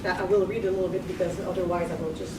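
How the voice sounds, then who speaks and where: A young woman giving a history lecture, partly read from notes, measured and earnest, stopping now and then to rephrase. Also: lowish voice, fairly even intonation. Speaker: guest lecturer. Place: lecture room. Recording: echoey room, far mic, background noise.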